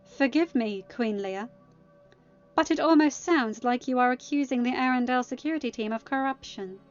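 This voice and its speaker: A woman's voice: high-pitched, normal speed, muffled recording, pitched above the performer's own voice, close to an everyday voice. A woman voicing a composed former queen with a cool, restrained manner in a story reading. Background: music.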